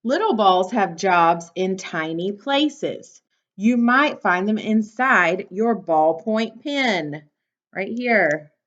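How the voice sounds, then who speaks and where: A woman's voice: mid-range voice, animated, slow, a bit bright. A woman teacher reading a picture book aloud to young children, instructing and prompting them with questions. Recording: dull, lo-fi sound.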